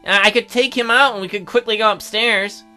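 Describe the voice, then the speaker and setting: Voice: mid-range voice, steady tone, fairly quick, pushed and bright, clean tone. A let's play co-host acting out game dialogue, bantering in a mock-indignant way. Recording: background music under voice.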